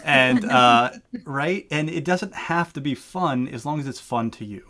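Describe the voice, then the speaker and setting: An emphatic, playful man speaking into a headset mic at home. His voice sits in a middle pitch, and he talks in a casual livestream way, pushing hard on key words. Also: unhurried, sharp tone. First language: English. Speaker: game dev teacher, co-host.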